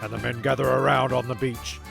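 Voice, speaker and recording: A man's voice: mid-range voice, level tone, fairly quick, gravelly, music in the background. A man playing a grumpy, rambling, foul-mouthed narrator of a comic audio serial, stumbling over his words. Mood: sardonic recap. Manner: stumbling, cynical, sweary.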